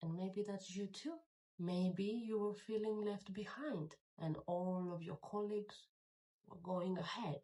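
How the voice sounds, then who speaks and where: A woman's voice: fairly low pitch, mellow, low-quality recording. A woman, talking straight to the viewer in an encouraging, motivational vlog. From Greece. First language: Greek. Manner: encouraging, direct, speaks to the viewer. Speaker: YouTuber. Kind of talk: motivational talk to camera.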